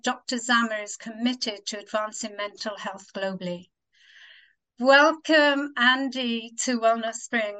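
A woman's voice: fairly high pitch, pushed and bright, poor audio. A woman hosting an interview, introducing her guest and asking polite, formal questions. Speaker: host.

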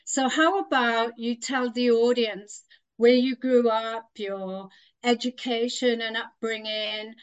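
A woman host opens an interview with eager, welcoming words. Her voice is smooth and resonant and pitched a little high, and she speaks with easy enthusiasm. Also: fairly even intonation, slow pace, highs cut off. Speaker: podcast host.

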